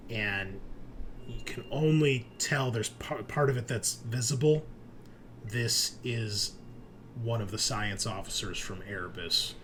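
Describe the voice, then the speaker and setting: A man's voice: on the low side, a bit bright, slight background noise. A man narrating a tabletop horror roleplaying game as game master, in a conversational, descriptive, improvised way.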